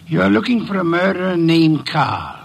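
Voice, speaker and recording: An actor playing a middle-aged private investigator in a 1950s radio drama, speaking in a precise, measured, commanding way. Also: male voice, a little high, sing-song, vocal fry, breathy edge, full character voice. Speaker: detective.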